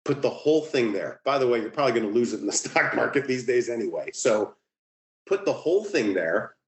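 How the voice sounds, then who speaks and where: A man's voice: mid-range voice, very animated, a little fast. Middle aged guy on a podcast explaining stuff casually but emphatic, pretty echoey room. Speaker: podcast guest, horseplayer. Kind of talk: casual interview talk, giving advice with anecdotes. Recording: roomy, echoing sound.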